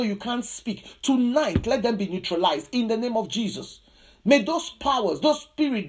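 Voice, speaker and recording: A woman's voice: on the high side, darkish tone. A woman preacher delivering a charismatic sermon and prayer, emphatic and insistent, with repeated declarative phrases.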